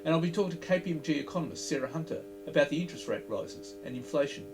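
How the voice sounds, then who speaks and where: Male host, fairly high voice, announcer delivery, guitar music behind. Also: expressive. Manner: measured, announcer-like. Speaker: podcast host. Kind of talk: scripted intro and interview questions. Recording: music bed under the voice.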